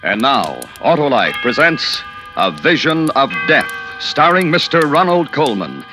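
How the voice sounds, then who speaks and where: A man's voice: medium pitch, very animated, measured pace. A man introducing a 1940s radio drama in a grave, emphatic, suspenseful announcing style. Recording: old radio recording, loud music.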